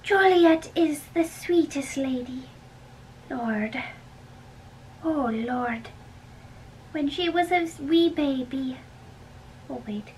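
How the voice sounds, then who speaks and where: A woman acting a fussy, chatty old nurse in an amateur dramatic play reading, with drawn-out delivery. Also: high voice, a little mellow, voice pushed up. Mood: confiding. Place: small bedroom. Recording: distant mic, room noise.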